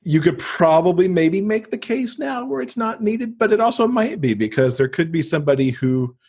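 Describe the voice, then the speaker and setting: A man's voice: high voice, animated, normal speed, a little mellow, breathy edge. A man speaking as a podcast guest in casual conversation, explaining at length with conversational asides.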